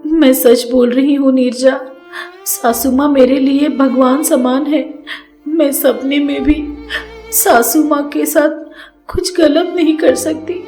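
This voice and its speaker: A meek young daughter-in-law who pleads through tears, as a woman acts her out. The voice is very high and slow, with a soft, mellow tone. It is heard in an echoey room over faint music. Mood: tearful pleading. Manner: pleading, crying.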